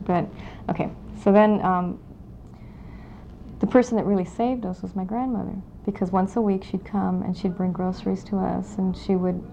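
A woman in her forties from Los Angeles, recalling her family history in an oral history interview, speaking in a reflective, halting way with many pauses. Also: medium pitch, steady tone, vocal fry, dark tone. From Los Angeles, California. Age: 40s. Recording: dull lo-fi 1992 tape with faint hiss.

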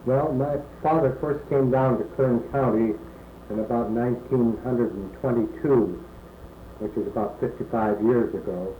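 A man in his sixties from California, recalling his early life in an oral history interview and pausing often with "uh". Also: mid-range voice. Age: 60s. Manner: halting, many "uh" pauses. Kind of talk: slow reminiscing answers. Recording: echoey room, muffled phone-like tape with faint hiss.

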